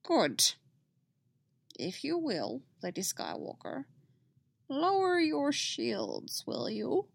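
A woman voicing an ancient, wise alien sage who speaks slowly with inverted word order. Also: sits high, huge pitch swings, gravelly, voice pushed up, exaggerated voice. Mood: wise, patient. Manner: inverted word order, slow measured speech.

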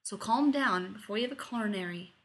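A woman's voice: mid-range voice, fairly quick, fairly bright tone, close to an everyday voice. A woman voicing a casual, unruffled male fugitive with a dry, teasing manner, in a story reading. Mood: calm reassurance. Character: laid-back, teasing adult male fugitive driver.

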